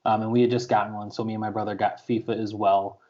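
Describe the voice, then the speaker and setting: A man's voice: on the low side, level tone, fast, a little mellow. A man co-hosting a podcast, chatting and telling a story with lots of ums. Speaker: podcast co-host. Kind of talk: casual podcast chat. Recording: slightly lo-fi podcast audio.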